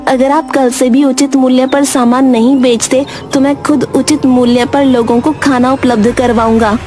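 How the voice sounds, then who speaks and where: A woman's voice: sits high, level tone, moderate pace, mellow, faint music behind, close to an everyday voice. A woman voicing a stubborn, principled young village son in a Hindi cartoon, earnest and polite. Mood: earnest, determined. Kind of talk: Hindi moral-story cartoon acting.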